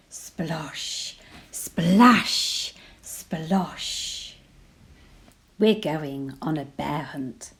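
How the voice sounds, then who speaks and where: A woman teacher reading a children's picture book aloud, slow and playful, whispering the sound words and rising to excited gasps. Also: fairly low pitch. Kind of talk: expressive read-aloud storytelling for children.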